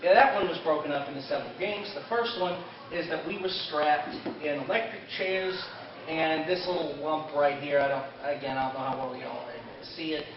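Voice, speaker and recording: A man's voice: fairly high pitch, a little fast, crowd noise. A man presenting an informal convention panel about an anime, telling story events to an audience as if he took part. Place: convention panel room, indoors. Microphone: distant camera microphone. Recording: roomy, dull, distant camera with audience.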